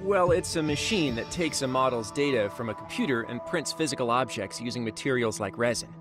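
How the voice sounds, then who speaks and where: A man's voice: mid-range voice, lively intonation, a little fast, music in the background, close to an everyday voice. A man playing a polite, tech-savvy character in an English-dubbed crime game, explaining things precisely. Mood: explanatory.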